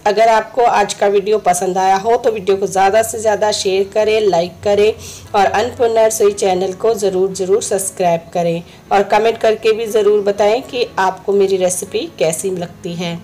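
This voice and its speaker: A woman with a slightly hoarse throat, a home cooking host, calmly explaining each step of a recipe in her kitchen. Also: mid-range voice, moderate pace. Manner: instructive, friendly, repeats steps.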